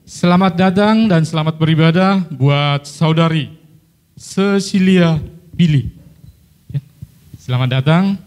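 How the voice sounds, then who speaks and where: A man with a Batak accent giving friendly, halting announcements at a church service. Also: a little high, a little mellow.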